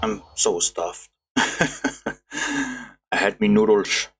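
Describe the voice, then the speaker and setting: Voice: medium pitch, animated, very slow, vocal fry, a bit bright. A streamer giving casual, chatty and playful commentary while playing a video game. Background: faint game music.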